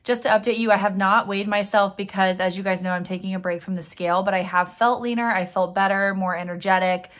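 A woman's voice: medium pitch, little pitch movement, a little fast, hardly any pauses. A young woman chatting casually to viewers in an upbeat vlog, talking while she eats in her home kitchen. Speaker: vlogger.

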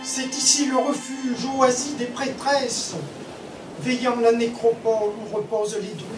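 Woman chanting out epic verse, slightly high voice, echoey and noisy recording. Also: little pitch movement, over music. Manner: measured, incantatory, short phrases. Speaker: poetry reciter. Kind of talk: solemn declamation of epic poetry. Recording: echoey, distant microphone, clear background noise.

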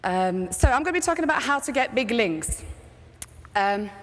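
A Norwegian woman with a mixed Norwegian and British accent, giving a lively, chatty conference talk on stage through a headset mic. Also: on the high side, normal speed. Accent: Norwegian with British English mix. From Norway. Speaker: conference speaker, agency CEO. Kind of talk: keynote presentation. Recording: long reverb of a large hall.

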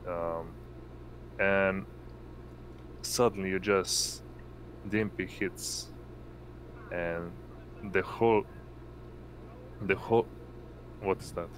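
A man runs a tabletop roleplaying game in a low voice. He speaks slowly and haltingly as he narrates. A clear background hum sits behind him. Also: fairly even intonation. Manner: halting, often pausing to find words. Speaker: game master.

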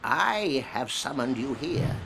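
Slow, wise old crab elder. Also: male voice, medium pitch, big pitch swings, creaky, heavy background noise, full character voice. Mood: solemn. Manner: slow, ceremonious, portentous. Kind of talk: video game cutscene acting.

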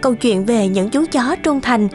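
A woman reading scripted narration for an animated story. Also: a little high, moderate pace. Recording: light music behind the voice.

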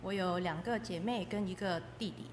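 A woman's voice: lowish voice, brisk. A woman interpreting a testimony aloud, phrase by phrase. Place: echoey hall. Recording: echoey hall with faint noise.

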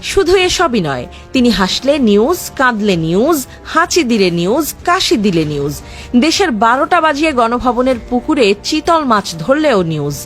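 Casting: female Bangladeshi narrator, high voice with animated swings, sardonic scripted satire over music. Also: a little slow.

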